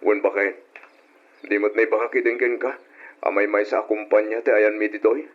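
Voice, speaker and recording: An actor playing a grateful, tender young husband in a gentle, earnest radio drama style. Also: male voice, lowish voice, level tone, moderate pace, close to an everyday voice. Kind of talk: old-time radio drama acting. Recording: muffled old radio recording.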